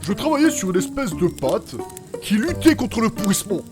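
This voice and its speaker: An actor playing an eccentric, touchy, pedantic chemist in a comedic audio drama, with blunt, slangy delivery. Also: male voice, high voice, big pitch swings, normal speed. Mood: defensive. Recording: music behind the voice.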